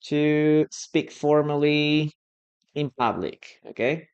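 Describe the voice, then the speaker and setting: Slow, patient teacher with a Peruvian accent. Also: medium pitch, a little mellow, clear, resonant tone, muffled recording. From Peru. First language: Spanish. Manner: patient, repeats key phrases, checks in with "okay?". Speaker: English teacher. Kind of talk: live online English class.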